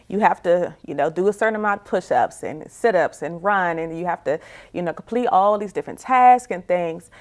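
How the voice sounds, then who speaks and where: A woman in her forties giving a reflective, candid personal testimony as an interview guest, in a conversational way, often saying "you know". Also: medium pitch. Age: 40s.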